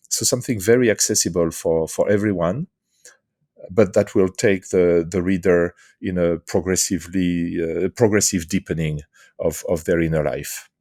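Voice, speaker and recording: A middle-aged man from France with a French accent, answering interview questions thoughtfully with many pauses and restarts. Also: deep voice, darkish tone, no room sound, studio quality. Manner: hesitant, many fillers and restarts. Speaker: guest, author and leadership coach.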